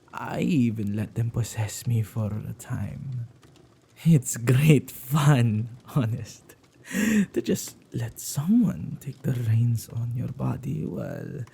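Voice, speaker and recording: A man playing a playfully menacing, possessive male witch in an ASMR roleplay, with a dark, gravelly, husky voice that often drops to whispers and a teasing, drawn-out delivery. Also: medium pitch, full character voice. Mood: playful, coaxing. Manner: teasing, unhurried, with drawn-out words and hesitant repeats.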